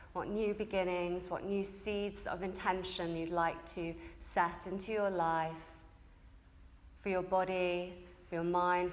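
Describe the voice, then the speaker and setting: Female yoga nidra teacher, medium pitch, slow and gentle guided-meditation delivery in a very reverberant studio. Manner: gentle, unhurried, phrase-by-phrase delivery. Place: indoor yoga space during a group evening session.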